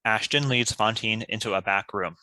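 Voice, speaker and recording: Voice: mid-range voice, normal speed, bright, forceful tone, poor audio. A narrator reading stage directions from a script in a scripted narration style.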